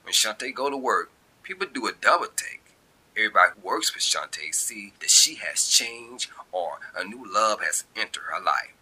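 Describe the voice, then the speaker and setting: A man narrates a short story in a colloquial, expressive way. His voice is sharp and bright with a breathy rasp, and his intonation moves around a lot. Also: lowish voice, normal speed, poor audio. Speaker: author and narrator.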